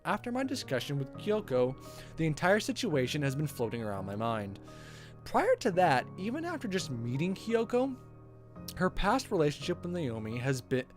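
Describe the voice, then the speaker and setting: A woman streaming a visual novel, reading it aloud and chattily commenting on the story. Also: sits low. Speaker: game streamer.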